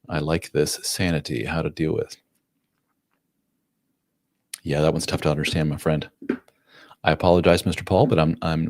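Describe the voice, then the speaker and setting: A man in his forties with a very low, deep voice hosts a live chat, reading viewer comments aloud and answering them frankly. He talks casually and uses plenty of slang. The sound is dry and close, with no room echo. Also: a little mellow. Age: 40s. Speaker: host and coach.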